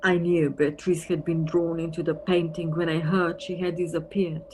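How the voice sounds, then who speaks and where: A woman's voice: fairly low pitch, level tone, moderate pace, darkish tone, lightly acted. Woman acting wry, wistful detective, audible background noise. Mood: wry, musing. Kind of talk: audio play acting. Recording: noisy recording with background ambience.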